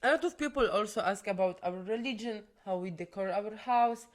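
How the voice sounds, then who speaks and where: Young woman, mid pitch, earnest, careful english. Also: brisk. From Poland. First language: Polish. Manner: earnest, non-native English, addresses viewers directly. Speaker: podcast co-host. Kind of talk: casual chat.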